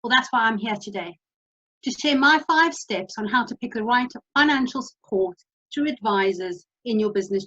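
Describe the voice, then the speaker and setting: A South African woman gives a brisk, instructive presentation in a practical, matter-of-fact way. Her voice sits a little high and sounds somewhat husky. The audio is dull and lo-fi, like a video call. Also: a bit bright. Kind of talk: presentation talk.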